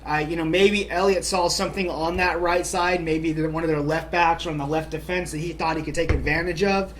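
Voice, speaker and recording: A man's voice: on the high side, fairly even intonation, brisk, slight background noise. A man co-hosting a casual soccer talk show, upbeat and chatty with lots of fillers. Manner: upbeat, chatty, many "you know" fillers. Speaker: podcast co-host. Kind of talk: casual sports chat.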